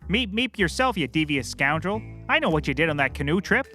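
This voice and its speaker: A man's voice: a little high, sing-song, a little fast, pushed and bright, soft background music. A man playing a cheerfully clueless, rambling private eye who cracks corny jokes in a scripted comedy. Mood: breezy, clueless. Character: bumbling, chirpy, oblivious male puppet-world private eye.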